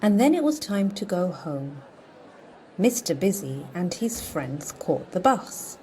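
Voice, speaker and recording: Slow, soft-toned woman reading a storybook. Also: fairly low pitch, very animated, slight background noise, poor audio. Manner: playful, deliberate storytelling. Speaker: children's story reader. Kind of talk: read-aloud storybook narration.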